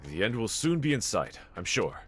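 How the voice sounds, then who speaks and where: A man playing a brave, tender young swordsman in an anime audio roleplay, speaking gently and earnestly to a companion in formal phrasing. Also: fairly low pitch, very animated, moderate pace, a little hiss or hum. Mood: calm, reassuring.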